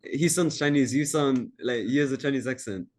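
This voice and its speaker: A young man co-hosting a casual podcast chat, blunt and excitable, swearing casually. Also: mid-range voice, moderate pace. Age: young adult. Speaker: podcast co-host.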